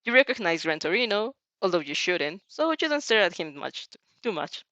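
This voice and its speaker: A woman reading fan fiction aloud as narrator, giggly and animated, often tripping over words and restarting. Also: lowish voice, normal speed, no room sound. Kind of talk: animated story reading with asides. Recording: dull lo-fi microphone.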